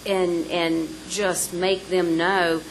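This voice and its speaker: A woman on a discussion panel who speaks earnestly and haltingly, pausing and restarting. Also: lowish voice, flat delivery, a little hiss or hum, poor audio.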